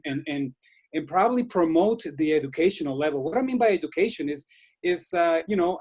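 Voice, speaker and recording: A man from Guatemala speaking earnestly as a panelist in a group discussion on a video call, often restarting and repeating words. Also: high-pitched.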